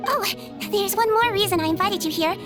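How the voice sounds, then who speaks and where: A small, girlish nurse creature with an extremely high voice. She speaks gently and candidly, with a composed manner suited to a game cutscene, over soft music. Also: fairly quick. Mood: gentle. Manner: composed, gentle, matter-of-fact. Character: small kindly female nurse creature, youthful, calm, candid. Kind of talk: video game cutscene acting.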